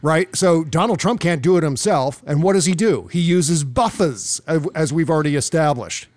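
A man's voice: on the high side, lively intonation, moderate pace, no echo. An American man hosting a podcast, giving casual, emphatic political commentary and repeating phrases for effect. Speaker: podcast host.

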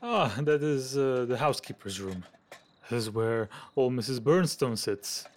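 Guy doing an anxious victorian gentleman in a radio play, fussy, stammers, voice goes up and down a lot. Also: medium pitch, lively intonation, a little slow, a little hiss or hum, natural voice. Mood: anxious, puzzled. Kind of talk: audio drama acting.

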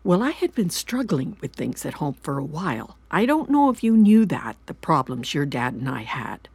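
An actress playing an anxious, regretful middle-aged mother in an audio drama, halting and justifying herself as she confesses. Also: low-pitched, very animated, darkish tone, breathy edge, no room sound, heavily acted. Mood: regretful, explaining. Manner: halting, self-justifying, repeats and trails off. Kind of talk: naturalistic audio drama acting.

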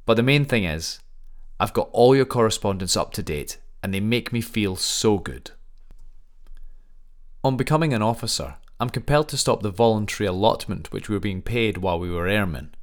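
A man's voice: lowish voice, animated, moderate pace, close to an everyday voice. An actor playing a fond, dutiful young wartime airman, earnestly reading out a letter to his mother.

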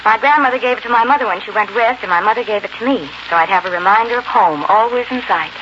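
An actress playing an intense, devoted young frontier bride in a heartfelt, dramatic 1940s radio style. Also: female voice, mid-range voice, fast talker, natural voice. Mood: light, affectionate. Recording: noisy, muffled 1940s radio recording.

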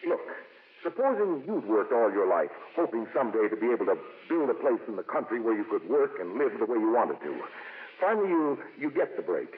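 An actor playing a blunt, stubborn, defensive homeowner in the emphatic style of 1950s radio drama. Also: male voice, medium pitch, sing-song, brisk, natural voice. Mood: defensive, heated. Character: stubborn, blunt, defensive middle-class homeowner, adult man. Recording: muffled, noisy old radio broadcast.

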